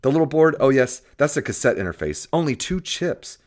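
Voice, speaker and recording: A man voicing a brash, fast-talking young computer salesman with pushy, clipped patter in an audiobook. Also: mid-range voice, sing-song, pitched above the performer's own voice, natural voice. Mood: eager, selling. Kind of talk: audiobook character voice.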